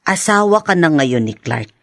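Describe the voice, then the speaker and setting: A woman's voice: deep voice, sing-song, moderate pace, dry recording, studio quality. An actress playing a patient older mother, earnest and admonishing, in a radio drama. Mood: earnest, pleading. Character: patient older mother counseling her daughter.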